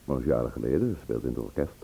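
A man acting in a Dutch radio play as a composed, probing gentleman investigator who speaks with measured care. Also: rumbling low, lively intonation, vocal fry, husky, exaggerated voice. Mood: businesslike. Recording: muffled narrow-band old radio recording.

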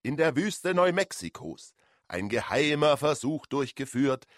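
Male radio drama narrator, slightly high, very animated and dramatic, some ambience behind. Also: measured pace, no room sound, clean studio sound.

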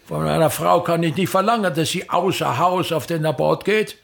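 Radio drama: grandiose, sour middle-aged stage impresario, gravelly and husky, forever complaining. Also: male voice, a little high, normal speed, a bit bright. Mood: demanding. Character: pompous, disdainful, self-pitying male touring theatre impresario. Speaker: actor playing a travelling playwright-actor. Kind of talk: theatrical radio drama monologue.

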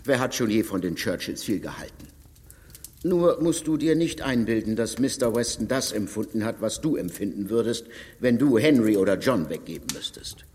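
An actor playing a reserved, ironic husband who speaks in a dry, matter-of-fact way. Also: male voice, lowish voice, expressive, normal speed, darkish tone, in a large hall, natural voice. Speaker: actor in a radio play.

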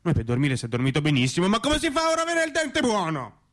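An actor playing an aggrieved, bumbling provincial man, halting and repetitive, in comic radio theatre. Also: sits high, wildly swooping pitch, fairly bright tone, exaggerated voice.

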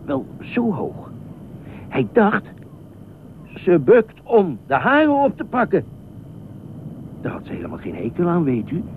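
A dutiful, grieving male lifeguard in a radio play, halting and pedantic, with a swinging, expressive pitch. He rambles in formal phrases. The sound is narrow and phone-like, with a loud rumble behind him. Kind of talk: Dutch radio drama acting.

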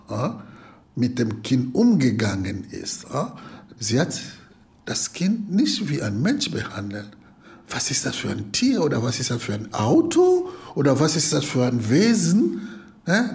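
A man in his forties from Congo, speaking in a halting, reflective interview manner full of pauses. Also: medium pitch, creaky, darkish tone. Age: 40s. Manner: halting, with frequent pauses and fillers.

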